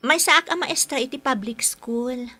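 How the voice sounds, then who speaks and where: An actress playing a gentle, polite young schoolteacher with a Filipino accent in a radio drama. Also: female voice, high-pitched, a little slow, exaggerated voice. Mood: fond.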